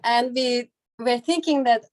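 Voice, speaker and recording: Woman from Hungary, Hungarian native, laughing and hesitant, video call, unhurried. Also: fairly high pitch, darkish tone. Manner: laughs often, halting with fillers. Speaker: translator. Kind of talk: personal story on a group call.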